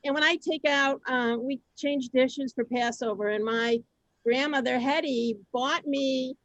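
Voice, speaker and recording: A middle-aged woman hosting a group on a video call, chatting informally and telling stories in a conversational, animated way. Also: high voice, slow pace, no echo, dull audio. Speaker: host of a synagogue group session. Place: video call, close dry sound.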